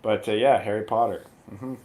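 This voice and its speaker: A man's voice: on the low side, steady tone, normal speed, darkish tone. A man on a movie review panel chatting casually and riffing jokingly on pop culture. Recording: clear background noise.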